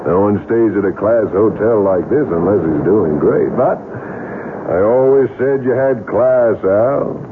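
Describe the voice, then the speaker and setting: An actor with an American accent playing a cocky, menacing crook in a 1970s radio drama, taunting with false friendliness. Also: male voice, on the low side, takes it slowly. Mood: mock friendly. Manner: taunting, falsely friendly, repeats the listener's name. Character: cocky, menacing male crook, needling and self-assured. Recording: muffled, noisy 1970s radio broadcast.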